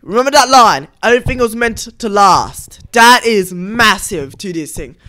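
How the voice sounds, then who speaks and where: A man hosting a gaming video, giving casual, halting commentary over cutscenes. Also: high-pitched, animated, sharp tone.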